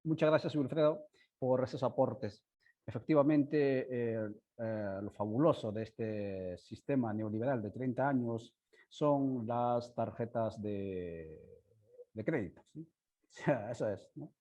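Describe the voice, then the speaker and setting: A man who speaks Spanish as a second language, hosting an online discussion in a halting, informal way, with many fillers and tag questions. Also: mid-range voice, warm tone. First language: not Spanish. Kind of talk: informal spoken commentary to an online audience. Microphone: headset on a video call.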